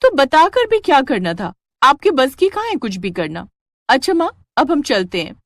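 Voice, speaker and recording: A woman plays a callous young daughter in an animated moral tale. She is dismissive and scheming, with scornful, lively lines that jump up in pitch. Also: moderate pace, no echo, studio quality. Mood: defiant, dismissive. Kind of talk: animated moral story dialogue.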